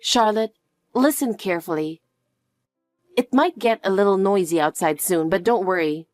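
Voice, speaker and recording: A woman playing a calm, protective mother who speaks softly and reassuringly to her daughter. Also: medium pitch, no room sound, clean studio sound, faint music behind, lightly acted. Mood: soothing. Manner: soft, slow, reassuring. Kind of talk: dramatic dialogue.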